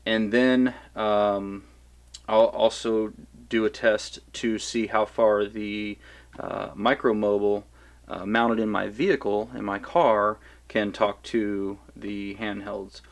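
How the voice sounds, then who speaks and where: Low-voiced man casually reviewing gear. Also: slow, gravelly. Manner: relaxed, rambling with many fillers and restarts.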